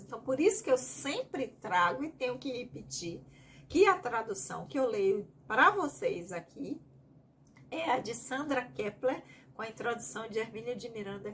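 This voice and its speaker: A woman's voice: fairly high pitch, slight background noise. A woman with a Bahian Brazilian accent, leading a spiritist study group; she reads each question aloud and then explains it at length in her own words. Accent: Bahian Brazilian Portuguese.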